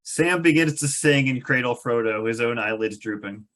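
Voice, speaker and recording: A man's voice: medium pitch, normal speed, a bit bright. A man reading stage directions as the narrator of a scripted table read.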